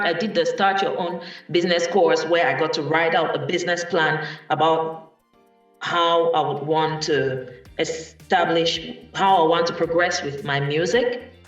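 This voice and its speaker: A speaker from Cameroon, telling a personal story at a panel event in a thoughtful way with many pauses and restarts. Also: medium pitch, steady tone, a bit bright, muffled recording. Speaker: guest speaker, musician. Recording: echoey room from far off, faint music.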